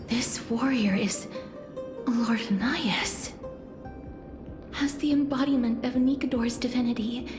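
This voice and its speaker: An earnest young lady in a fantasy game, with a fairly high voice. She speaks slowly and hesitantly, formal and troubled. The voice sits in a roomy echo over clear music. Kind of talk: dramatic video game voice acting.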